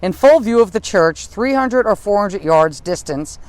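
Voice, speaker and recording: A woman's voice: mid-range voice, animated, normal speed, a little mellow, rough recording. An American woman reading history aloud for a live online audience, conversational and engaged, with asides and questions. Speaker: tour guide and reader. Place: outdoors, windy.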